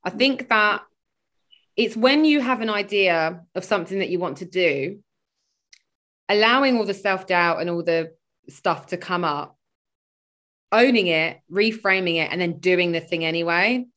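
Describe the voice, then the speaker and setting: A woman's voice: fairly low pitch, unhurried, long pauses, fairly bright tone. A woman, a spiritual coach chatting as a podcast guest over a video call, rambling and full of fillers. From born in Sweden, grew up in London. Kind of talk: casual conversational interview.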